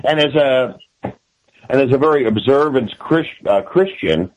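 An American man with a classic radio-style voice, speaking conversationally as an interview guest and often haltingly repeating and restarting his phrases. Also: mid-range voice, lively intonation, poor audio.

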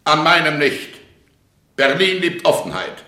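An actor in a German radio play as a proud, decisive prince-elector, imperious and formal. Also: male voice, fairly high pitch, sing-song, measured pace, bright, forceful tone, full character voice. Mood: haughty. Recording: echoey room.

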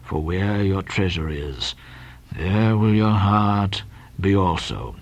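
A man voicing a stingy, solemn old Quaker captain with a slow, mumbling, sepulchral delivery and archaic pious speech. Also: sits low, expressive, dull audio, pitched below the performer's own voice. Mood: mumbling, unmoved.

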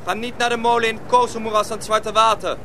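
An actor playing a wary village farmer in a radio drama, cautioning in a hesitant way. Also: male voice, high-pitched, level tone, quick pace, sharp tone, a little hiss or hum. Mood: wary, warning.

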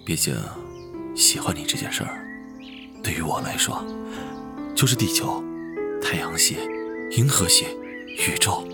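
An actor playing an affectionate, teasing young man in love with his boyfriend, in an intimate, breathy romantic audio drama style. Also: sits low, expressive, a little slow, long pauses. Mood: tender, earnest. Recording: music behind the voice.

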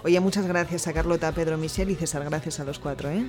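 A woman hosting a local radio show, chatting warmly and asking children lots of follow-up questions. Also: low voice, fairly even intonation, fast, loud music behind the voice. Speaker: radio host interviewing schoolchildren. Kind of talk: friendly radio interview.

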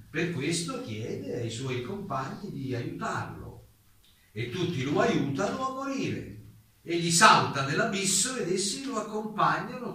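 A man's voice: on the high side, sharp tone. A man playing an insistent, explanatory German playwright answering a committee in an echoey stage reenactment. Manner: emphatic, deliberate, repeats denials. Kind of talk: theatrical dialogue, hearing reenactment. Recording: very echoey room, distant mic, faint noise.

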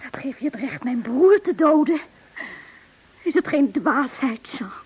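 A woman's voice: high voice, lively intonation, a little slow, highs cut off. An actress playing an anguished, tender sister caring for her wounded brother in a Dutch radio drama. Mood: anguished, reproachful. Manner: emotional, reproachful, pleading.

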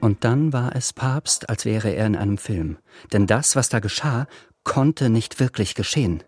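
A man narrates a literary radio drama from a script. His voice sits on the low side. Music plays clearly behind him. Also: expressive, a little slow, a little mellow, no room sound, clean studio sound.

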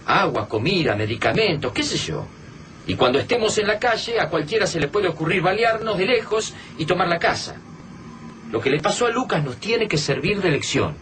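A man's voice: sits high, sing-song, normal speed, a bit bright. A man voicing grim, pragmatic Favalli. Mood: urgent. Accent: Rioplatense Argentine. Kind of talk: radio drama acting. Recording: noisy radio-drama recording.

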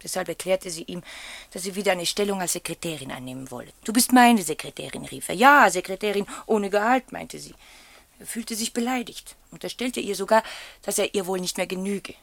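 An actress playing a proud, troubled young wife in a German radio play, loyal and evasive. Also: female voice, medium pitch, fairly quick, gravelly, no room sound. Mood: troubled, confiding.

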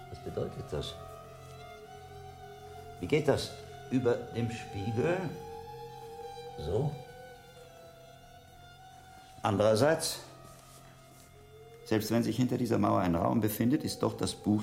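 An actor playing a shrewd, scholarly older monk in a German radio drama, musing aloud like a patient teacher and investigator. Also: male voice, fairly low pitch, creaky, a little mellow.

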